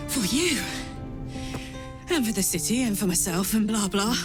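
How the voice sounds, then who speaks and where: An actress playing a big-hearted, brash warrior in a video game, speaking plainly and haltingly with raw emotion. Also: female voice, mid-range voice, lively intonation, close to an everyday voice. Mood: earnest, loving. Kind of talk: video game cutscene acting. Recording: loud orchestral score over the voice. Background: loud music.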